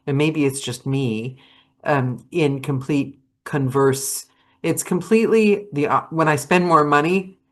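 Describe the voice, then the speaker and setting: A woman's voice: sits low, a little slow. A woman hosting a casual interview over a video call, chatty and thinking aloud as she talks. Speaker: podcast host and audiobook narrator.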